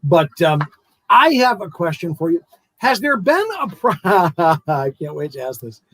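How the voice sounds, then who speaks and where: A man hosting an interview show, animated, stumbling over his words and laughing often. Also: on the high side, a little slow, dry recording. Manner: animated, stammering, laughs a lot. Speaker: show host. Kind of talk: casual interview chat.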